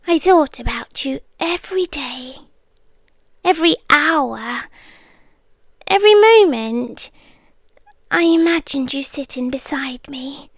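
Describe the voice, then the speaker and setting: A woman voicing a possessive, obsessive hive queen in an audio roleplay, speaking slowly and intimately, often in a whisper, sweet and quietly threatening. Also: high-pitched, dry recording, full character voice.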